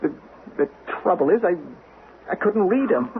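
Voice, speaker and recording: An actor playing a defensive, bitter young man accused of murder in a radio drama, pleading and halting. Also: high voice, animated. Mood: bitter, anguished. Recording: noisy, narrow-band vintage radio recording.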